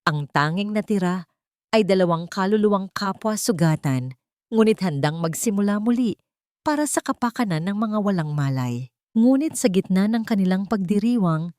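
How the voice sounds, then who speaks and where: A woman's voice: medium pitch, lively intonation, normal speed, a little mellow, no room sound, studio quality. A woman reading a scripted dramatic story as an audiobook narrator.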